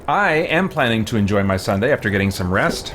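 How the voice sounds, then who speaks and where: A man's voice: lowish voice, animated, brisk, fairly bright tone, a little hiss or hum. A man chatting casually as he livestreams a video game, speaking in a thoughtful, conversational way with hesitant phrasing. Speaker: live streamer playing a video game.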